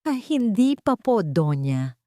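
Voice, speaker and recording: A woman's voice: mid-range voice, big pitch swings, slow pace, dark tone, no echo, clean studio sound. A woman voicing an anxious young fiancée in a story, fearful and trembling. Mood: nervous, polite.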